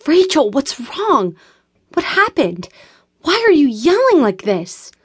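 A woman's voice: on the high side, animated, slow pace, fairly bright tone, dry recording, studio quality, voice pushed up, heavily acted. A woman reading an audiobook voices an indignant, protective friend who speaks firmly and with emphasis. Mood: alarmed.

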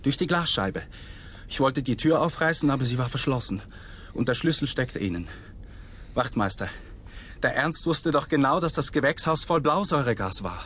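A man's voice: mid-range voice, animated, normal speed, a bit bright, a little hiss or hum, lightly acted. An actor playing an anxious, guilt-ridden young man in a radio drama, explaining himself earnestly. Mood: shaken, explaining. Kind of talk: German radio drama acting.

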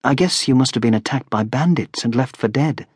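A man's voice: medium pitch, a little fast, breathy edge, no echo, studio quality, close to an everyday voice. A man voicing a kindly, gracious noblewoman in an audiobook, with a soothing and polite manner. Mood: soothing, concerned. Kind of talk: audiobook character voice.

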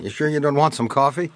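An actor playing a weary, cautious small-town sheriff in a western audio drama, reasoning hesitantly. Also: male voice, a little high, noisy background. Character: resigned, cautious male small-town Old West sheriff.

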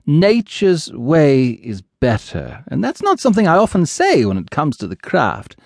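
A man's voice: fairly high pitch, wildly swooping pitch, unhurried, gravelly, dry recording, studio quality, voice pushed up, exaggerated voice. A man doing a fluttery old ghost witch woman. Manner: fluttery, motherly, teasing, calls others dearie. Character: old female ghost witch, doting and nosy.

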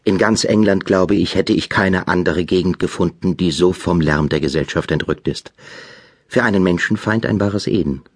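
An actor playing a polite, wordy young gentleman tenant who narrates and speaks with formal, elaborate courtesy in a radio drama. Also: low voice, normal speed, dry recording, studio quality. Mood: reflective.

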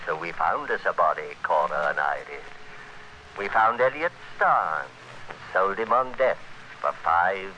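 A cold, scheming criminal in a 1940s radio thriller. His voice sits fairly low with a hard, forceful edge, and he is domineering and menacing. The old broadcast recording carries faint noise. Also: lively intonation, unhurried, lo-fi sound, exaggerated voice. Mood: grim, calculating. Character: cold, scheming male criminal narrating his murderous plot.

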